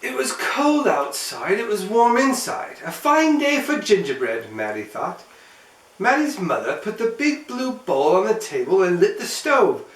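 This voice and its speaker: Animated man reading aloud in echoey space. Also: sits high, a little slow. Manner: expressive, playful, addresses child listeners. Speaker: host reading aloud to children. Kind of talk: animated storybook narration. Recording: roomy echo, mic at some distance.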